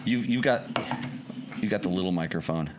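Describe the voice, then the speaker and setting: A man's voice: low voice, moderate pace. An older man hosting a casual dirt bike talk show, rambling with restarts. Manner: rambling, restarts and laughs easily. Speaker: host. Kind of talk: casual podcast chat. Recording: noisy room ambience.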